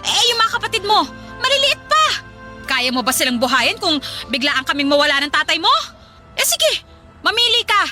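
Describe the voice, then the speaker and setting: A woman's voice: very high voice, big pitch swings, normal speed. A Filipino woman playing a poor, debt-ridden mother in a radio drama, coaxing and guilt-tripping as she presses her case. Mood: insistent, pressuring. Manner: coaxing, guilt-tripping, hesitant then insistent. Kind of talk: Tagalog radio drama acting. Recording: loud music under the voice.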